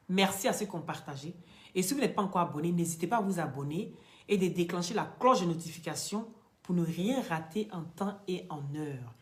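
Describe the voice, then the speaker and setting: Outspoken Ivorian woman ranting to camera. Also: lowish voice. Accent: Ivorian French. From Côte d'Ivoire. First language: not French. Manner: blunt, emphatic, street slang, rises to loud outbursts. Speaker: online commentator.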